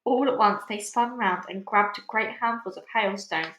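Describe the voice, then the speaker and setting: A woman reads a children's story aloud as narrator. Her voice is medium in pitch and expressive. The recording has a clear room echo. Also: measured pace. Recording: echoey room.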